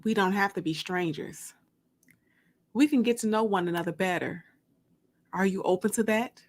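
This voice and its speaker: A woman's voice: mid-range voice, moderate pace, lightly acted. A woman voicing a confident, bold, flirtatious man in an audiobook story, with a teasing manner. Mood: smooth, flirting. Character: confident, flirtatious bald man, a smooth coworker.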